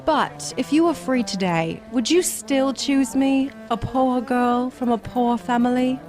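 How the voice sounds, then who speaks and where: A woman's voice: high voice, unhurried, over music. A woman playing a hurt but resolute young fiancée in an audio drama, speaking earnestly and sadly. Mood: hurt, resigned. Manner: earnest, sorrowful. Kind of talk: theatrical audio drama acting.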